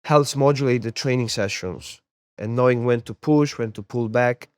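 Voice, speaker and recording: A man's voice: mid-range voice, moderate pace, no room sound, clean studio sound. A young adult man born in Poland, a sports therapist and MMA coach, talking casually as an interview guest and often repeating words as he thinks. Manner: relaxed, repeats words while finding them.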